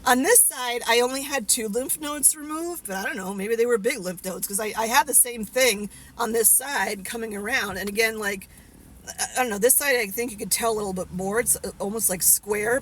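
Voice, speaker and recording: A woman's voice: on the high side, fairly quick, fairly bright tone, slightly rough, rough recording. A woman in her fifties talking casually to the camera, candid and rambling with many fillers and restarts. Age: 50s. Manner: candid, laughs at herself. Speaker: vlogger.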